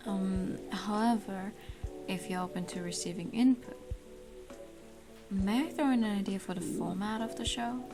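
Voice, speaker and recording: A woman voicing a reserved, thoughtful, polite character in a fan-dubbed visual novel, hesitant and measured with formal wording. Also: mid-range voice, close to an everyday voice. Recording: voice under loud background music.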